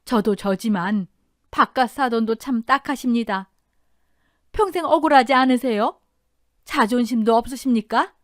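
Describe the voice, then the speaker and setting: A woman voicing an indignant, protective older mother who scolds forcefully in an audio drama. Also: fairly high pitch, a little slow, no echo, studio quality, lightly acted. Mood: reproachful.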